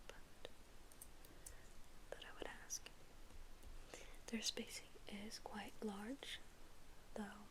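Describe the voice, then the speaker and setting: A woman speaking in a breathy whisper for an ASMR dentist role play, gently reassuring and halting, with pauses. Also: a little high, poor audio. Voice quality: breathy, mostly unvoiced whisper.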